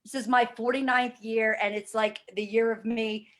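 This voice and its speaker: A woman's voice: on the high side, fairly even intonation, normal speed. A woman in her forties speaking candidly and emphatically about her own experience as a fitness program client, with blunt, casual swearing. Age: 40s. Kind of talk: candid testimonial conversation.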